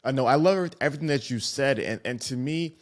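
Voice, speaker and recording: A man hosting a podcast, responding appreciatively in halting speech full of fillers. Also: medium pitch. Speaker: podcast host. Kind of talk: podcast interview.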